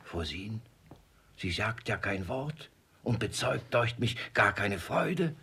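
An actor playing a miserly, irascible old father who courts a young woman with unctuous flattery in a classic comedy radio play. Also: fairly low pitch, slow pace, heavily acted. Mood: fawning.

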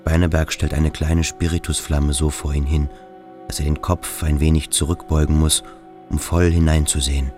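Very deep male radio play narrator. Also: flat delivery, moderate pace, over music. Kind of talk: present-tense scene narration in a radio play.

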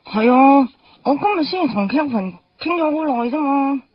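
A woman's voice: high voice, lively intonation, moderate pace, no echo, highs cut off. An actress with a Hong Kong Cantonese accent playing a playful, candid young woman in a radio drama, casual and teasing. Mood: frank.

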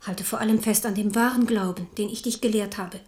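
A woman's voice: mid-range voice, fairly even intonation, darkish tone, natural voice. A woman playing a grave, devout queen and mother in a German radio play. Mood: solemn, confiding. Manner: grave, intense, deliberate.

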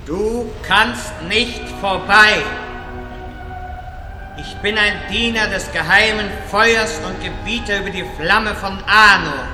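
A man's voice: sits high, slow pace, over music. A man playing a pompous, commanding wizard who declaims solemnly and theatrically in a fantasy audio drama parody. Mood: defiant, commanding. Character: imperious male wizard defying a dragon, pompous. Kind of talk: fantasy radio play parody. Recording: echoey cavernous reverb.

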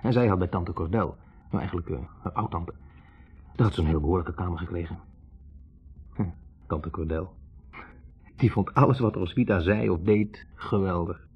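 A man's voice: sits low, normal speed, long pauses, husky, faint music behind, full character voice. An actor playing a young man, a physics student, hesitantly recalling a troubled love in a conversational 1960s radio play monologue. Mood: wry recollection. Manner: hesitant, self-correcting, reflective. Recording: muffled old radio recording.

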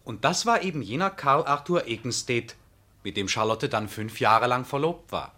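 Genial gentleman, bright forceful voice, mid pitch, emphatic, explanatory, radio drama. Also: expressive, normal speed. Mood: recounting.

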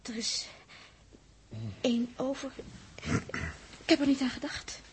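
A woman's voice: sits high, little pitch movement, a little slow. A woman acting a sensitive, anxious young crew member in a 1960s radio drama, with a plaintive, hesitant delivery. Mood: distressed. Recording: noisy old radio recording.

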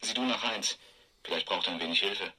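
An actor playing an eccentric, scheming computer genius in a radio drama, with an odd, emphatic delivery. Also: male voice, sits low, brisk, pushed and bright, husky, full character voice, low-quality recording. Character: eccentric male computer genius devoted to his guru. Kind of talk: German radio drama acting.

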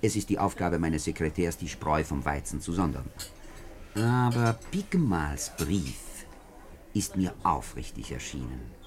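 Pompous deputy, deep and swooping. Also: male voice, big pitch swings, exaggerated voice. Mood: self-important. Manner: pompous, deliberate, emphatic. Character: self-important, indignant male French parliamentary deputy. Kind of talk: 1950s German radio drama acting.

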